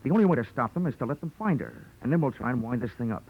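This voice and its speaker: An actor playing a wry, hard-boiled insurance investigator with an American accent and deadpan wit, in 1940s radio detective drama style. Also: male voice, medium pitch, racing, narrow, tinny audio, slight background noise, close to an everyday voice. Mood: persuasive. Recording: old 1940s radio broadcast recording.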